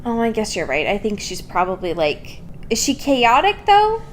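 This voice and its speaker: A young woman with a fairly high, very lively voice that swings up and down as she talks. She co-hosts a podcast, chatting loosely and irreverently, with plenty of slang and swearing and a self-mocking streak. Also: normal speed, a little hiss or hum. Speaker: podcast co-host. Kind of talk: casual, irreverent chat.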